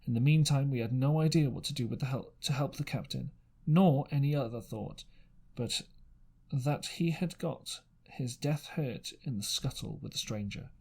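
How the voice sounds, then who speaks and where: A man with a husky, mid-pitched voice narrates an adventure story from a book. He reads as an amateur, a little haltingly, going back to fix his words. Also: a little mellow. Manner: halting reading with misreadings and corrections. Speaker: amateur audiobook reader.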